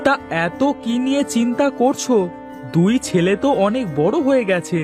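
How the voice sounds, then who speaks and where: A man's voice: extremely high pitch, expressive, normal speed, over music. A man voicing a nosy, outspoken village neighbour in a cartoon. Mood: chatty, advising. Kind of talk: Bengali cartoon dialogue.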